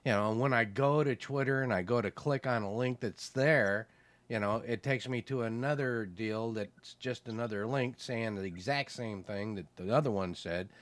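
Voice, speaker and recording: Podcast co-host, male, casual and rambling, middle pitch, dry close sound. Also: moderate pace, dry recording. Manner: rambling, many fillers like "you know". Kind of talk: casual chat.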